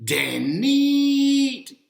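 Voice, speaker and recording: A man voicing an eager, simple-minded bear from a picture book, speaking in short, blunt, drawn-out exclamations. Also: very high voice, big pitch swings, gravelly, voice pushed up, heavily acted. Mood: eager exclaiming. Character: eager, simple-spoken male bear proudly building his home. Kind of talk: picture-book character read aloud. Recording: roomy, echoing sound.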